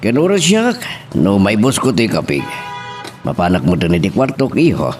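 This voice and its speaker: A man plays a fatherly middle-aged Filipino with an Ilocano accent, counselling his children in a radio-style drama. His voice sits low but leaps up and down expressively. The recording places him at a distance from the microphone. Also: sing-song, moderate pace, darkish tone, faint music behind. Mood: earnest advising. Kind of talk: Ilocano audio drama acting.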